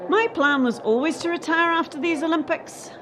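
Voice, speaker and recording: A woman's voice: extremely high pitch, moderate pace, a crowd in the background. A woman playing a defensive, steely head of gymnastics in a radio play, speaking in a firm, clipped, official way. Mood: guarded, firm.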